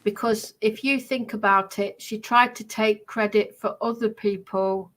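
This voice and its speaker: A woman casually explaining herself in a defensive, halting way. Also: medium pitch, steady tone, a bit bright, poor audio.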